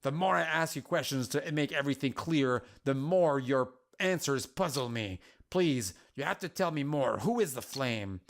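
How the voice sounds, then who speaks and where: A man's voice: medium pitch, animated, normal speed, few pauses, natural voice. A man voicing a troubled, defiant warrior woman in a story, earnest and questioning in an agitated manner. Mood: puzzled. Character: Arya, troubled defiant young female warrior burdened by war.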